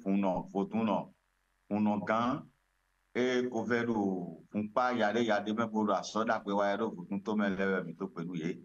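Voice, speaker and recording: Over a dull, lo-fi connection, a man with a Beninese accent speaks in a fairly low voice. He is a traditional spiritual leader and interview guest, addressing listeners in a ceremonial, invocatory style.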